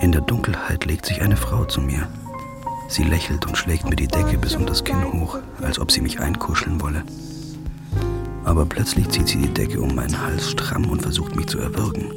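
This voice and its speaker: A man's voice: extremely low pitch, wildly swooping pitch, moderate pace, vocal fry, loud music behind the voice. A man narrating a first-person crime drama in a weary, clipped, hard-boiled manner. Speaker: narrator and protagonist.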